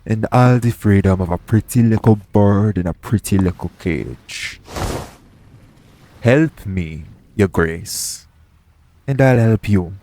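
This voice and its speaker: A man's voice: fairly low pitch, slow, background noise. A man playing a shady, persuasive pirate captain in an audio roleplay, bargaining straight to the listener in roguish, ungrammatical speech. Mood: menacing, taunting, then coaxing. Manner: coaxing, bargaining, roguish dialect grammar. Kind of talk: audio roleplay acting, addressing the listener directly.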